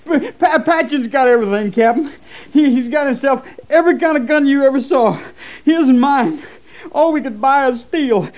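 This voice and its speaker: A man's voice: extremely high pitch, moderate pace, darkish tone. A man playing an anguished, ashamed young army deserter, stammering and pleading, in an old radio western. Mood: frantic, pleading. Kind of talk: 1940s-50s radio western acting. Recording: muffled old radio recording.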